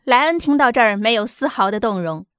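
High-pitched woman narrating a story. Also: lively intonation, fairly quick, a bit bright, no room sound, clean studio sound. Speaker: audiobook narrator. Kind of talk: scripted dramatic story narration. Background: background music.

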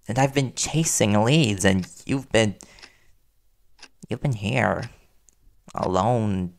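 A man playing a worried, devoted young reporter boyfriend in an intimate audio roleplay, speaking earnestly and soothingly with hesitant pauses. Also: mid-range voice, creaky. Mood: gentle concern.

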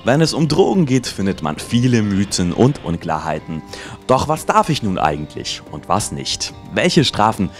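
A man's voice: lowish voice, sing-song, normal speed. A man reading a scripted voice-over introduction for a show, posing questions as a narrator. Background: music.

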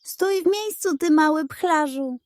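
Woman as a villainous male monster sorcerer, pompous, menacing, blustering, raised pitch, bedtime-story baddie. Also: a little slow, a little mellow, dry recording, studio quality. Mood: enraged.

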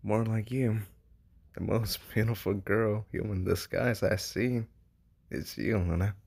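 A man voicing a shy, smitten young demon in human disguise, gentle and hesitant, in an amateur comic dub. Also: fairly low pitch, fairly even intonation, measured pace, gravelly. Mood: shy, affectionate.

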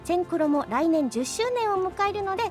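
A woman with an extremely high voice hosts a radio show. She reads out mail and notices over faint background music. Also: lively intonation, a little fast. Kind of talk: radio hosting, reading mail and announcements.

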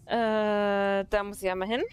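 A woman's voice: medium pitch, level tone, measured pace, clear, resonant tone, faint music behind. A young woman streaming a game, chatting casually with viewers and laughing often. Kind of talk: casual let's play chat.

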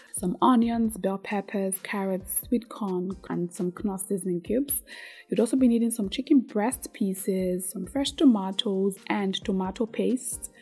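A woman's voice: medium pitch, mellow, slightly rough. A woman hosting a cooking tutorial, addressing viewers directly in a scripted, presenter-like way. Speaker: cooking show host.

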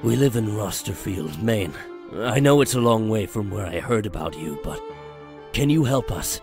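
A man playing an anxious, desperate father in a horror visual novel, halting and strained as he speaks. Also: on the low side, animated. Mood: pleading, desperate. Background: background music.

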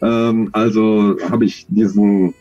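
A man's voice: on the low side, flat delivery, takes it slowly, dark tone, lo-fi sound. A man in his forties, an audio drama author, answering interview questions on a video call in a thoughtful, rambling, self-deprecating way.

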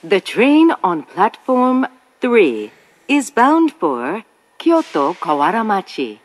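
A woman giving formal, deliberate recorded train platform announcements as a station announcer. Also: medium pitch, sing-song. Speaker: English-language station announcer.

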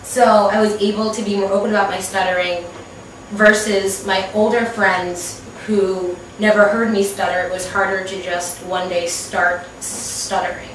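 A young woman chats casually as a guest host. Her voice is bright and forward at a medium pitch, recorded at a distance in a very echoey living room. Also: flat delivery, moderate pace. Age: young adult. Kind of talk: casual chat. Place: small indoor living room. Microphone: far camera. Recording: distant mic, echoey room, faint hiss.

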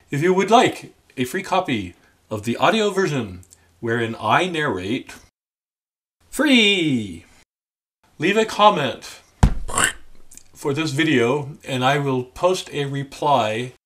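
An older man, around his sixties, talking casually to the camera in a deliberate, halting, dry manner. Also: medium pitch, a bit bright. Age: older, about 60s. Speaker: memoir author addressing viewers. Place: small room at home, indoors.

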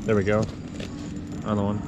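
A man's voice: on the low side, completely flat delivery, brisk, dull audio, over loud music. A man giving casual on-the-spot commentary as host of a fishing video, recorded outdoors on an action camera. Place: outdoors on a frozen lake while ice fishing. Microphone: action camera worn on the body.

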